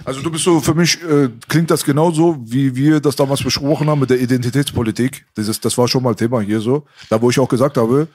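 A man, originally from Iran, talks quickly and fluently in a chatty, slangy way. His pitch sits in the middle range, and he drives his stories forward at a fast pace. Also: steady tone, a little mellow, breathy edge. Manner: talkative storyteller, street slang. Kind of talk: casual chat.

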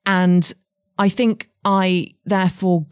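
A woman's voice: on the low side, level tone, slow, clean tone, no echo, clean studio sound. A woman in her forties with an English accent, a writer speaking candidly and thoughtfully as an interview guest. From England. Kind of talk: reflective interview conversation.

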